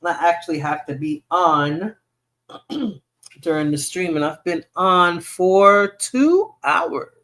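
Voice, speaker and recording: A woman's voice: lowish voice, expressive, takes it slowly, fairly bright tone. New York woman chatting on a livestream. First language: Spanish. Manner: rambling, answers viewer comments aloud. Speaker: livestream host. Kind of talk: casual livestream chat.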